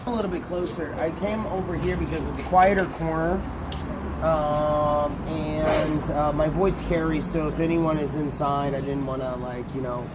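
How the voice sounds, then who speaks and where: A male tour guide with a booming voice talks a group through a site, animated and conversational. He's recorded outdoors, with street and vehicle noise clearly audible around him. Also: normal speed.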